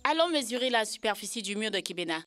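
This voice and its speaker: A woman's voice: medium pitch, lively intonation, fast talker, a bit bright, faint music behind, lightly acted. A woman voicing a sensible, candid young child in a children's cartoon dub. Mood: matter-of-fact.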